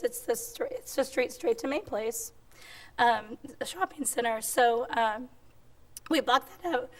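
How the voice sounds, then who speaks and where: A woman in her forties giving a conference presentation at a podium, her voice strained and squeaky, upbeat with frequent ums. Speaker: hospital executive presenter. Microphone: podium microphone.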